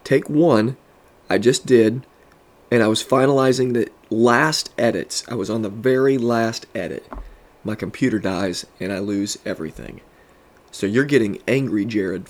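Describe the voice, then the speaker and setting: A man's voice: on the low side, moderate pace. A man who pastors and hosts a podcast, teaching earnestly with a congested voice and building emphasis on his points.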